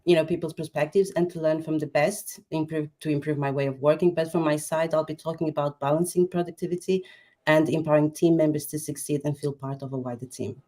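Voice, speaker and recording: A woman's voice: sits low, fairly even intonation, fast, warm tone. A woman from Portugal with a Portuguese accent, speaking English as a panelist in a discussion. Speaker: marketing lead, panelist.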